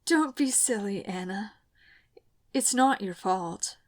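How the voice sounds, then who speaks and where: A woman voicing a dying female knight who speaks weakly and haltingly to her young student. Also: medium pitch, animated. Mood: tender farewell. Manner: weak, halting, tender. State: fading, in pain. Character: dying older female knight, gentle mentor.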